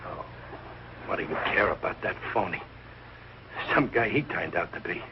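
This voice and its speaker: A man's voice: low-pitched, normal speed. An actor playing a middle-aged, big-hearted, blundering working-class American father in a 1940s sitcom, with colloquial, ungrammatical speech. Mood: indignant. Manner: colloquial, ungrammatical, plain-spoken. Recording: dull old broadcast with hiss and audience.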